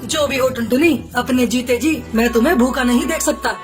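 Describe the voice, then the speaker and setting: Caring male bird husband played by a woman, high voice, earnest and reassuring, cartoon fable over music. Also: a little fast, close to an everyday voice. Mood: worried, determined. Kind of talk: cartoon moral story acting.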